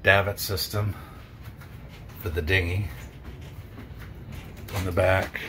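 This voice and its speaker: Man, low voice, slow easygoing delivery, vlog walkthrough, outdoor camera mic with steady background noise. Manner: relaxed, thinking aloud, trailing off. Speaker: boat owner touring a yacht. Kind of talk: casual walkthrough commentary. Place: outdoors on a docked boat in a marina.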